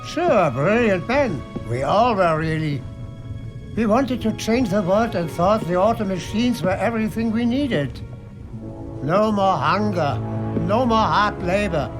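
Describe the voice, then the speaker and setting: An actor playing a weary, idealistic and defiant inventor in a video game cutscene, with a measured, wistful manner. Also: male voice, high-pitched, lively intonation, over music.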